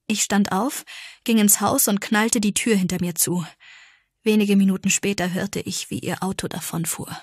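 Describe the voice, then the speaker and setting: Expressive woman narrator, slightly husky and dry. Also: mid-range voice, moderate pace, no room sound. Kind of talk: audiobook narration, expressive first-person storytelling.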